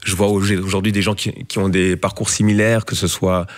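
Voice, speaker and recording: A man's voice: deep voice, little pitch movement, fairly quick, no room sound. A young man, a comedian guest, chatting casually in a radio interview with a playful, joking manner and plenty of hesitations.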